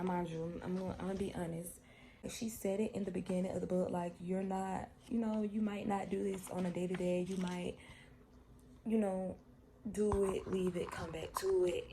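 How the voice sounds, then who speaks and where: A woman's voice: on the low side, vocal fry, background noise. A woman, chatting casually and heartfelt in a vlog, rambling and repeating herself. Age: 20s. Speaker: vlogger.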